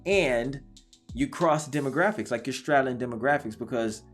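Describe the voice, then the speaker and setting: A man's voice: mid-range voice, normal speed, faint music behind. A man hosting a casual interview, conversational and encouraging, with easy slang. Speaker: interview host.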